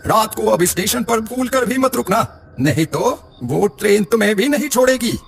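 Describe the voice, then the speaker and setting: A man voicing a crazed ghost in an animated horror story, eerie and taunting, drawing out his words with cackles. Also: sits high, normal speed, gravelly, a little hiss or hum, voice pushed up. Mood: ominous warning.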